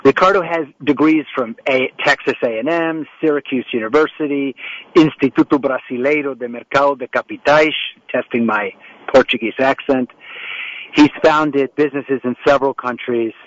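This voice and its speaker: A man's voice: medium pitch, normal speed, no room sound. Man hosting a talk show, dry dull sound. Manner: rambling, with frequent restarts and fillers. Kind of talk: hosting a remote interview show. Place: home setup in New York. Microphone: remote call setup.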